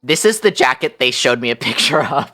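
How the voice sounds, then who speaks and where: A woman livestream host telling a story casually, in an animated, incredulous, slangy way. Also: extremely low pitch, fairly quick.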